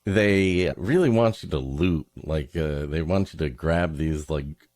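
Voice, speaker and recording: Male comedy host, deep and unhurried, mock-dramatic, close dry sound. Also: darkish tone, dry recording. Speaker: podcast host. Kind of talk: comedic banter and story introductions.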